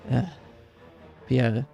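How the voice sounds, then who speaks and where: A man's voice: mid-range voice, vocal fry, dark tone, highs cut off. A man in his thirties from the United States, chatting casually and earnestly with stream viewers, rambling with lots of fillers. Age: 30s.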